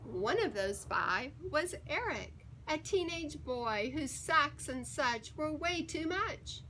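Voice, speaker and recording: A woman reading a children's picture book aloud in an expressive, deliberate and emphatic storytelling manner. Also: high-pitched, a little hiss or hum, low-quality recording. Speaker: story time reader.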